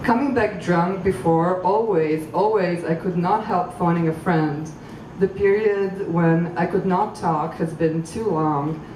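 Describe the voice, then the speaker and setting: A woman from Croatia reading poems at a public event, halting and thoughtful between poems and measured while reading. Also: lowish voice. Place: outdoor public venue. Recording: echoey, far from mic, street noise.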